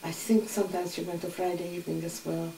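A woman's voice: on the low side, little pitch movement, fast talker, creaky, mellow, a little hiss or hum. Older woman recalling, distant and roomy. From Hungary. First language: Hungarian. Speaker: interviewee. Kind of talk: oral history recollection. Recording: roomy echo, distant mic.

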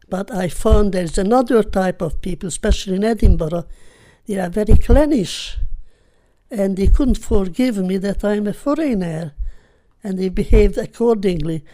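An older woman from Hungary with a Hungarian accent, recalling her life in an unhurried, reflective way during an oral history interview. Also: mid-range voice, mellow. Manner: unhurried, reflective, simple phrasing.

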